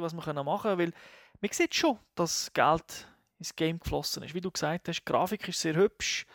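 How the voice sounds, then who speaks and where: A man talks games in a relaxed, enthusiastic review chat, his phrasing loose and rambling. His voice sits on the higher side for a man, and the recording is dry and close. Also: no echo. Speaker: video game reviewer, co-host. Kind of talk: casual game review chat.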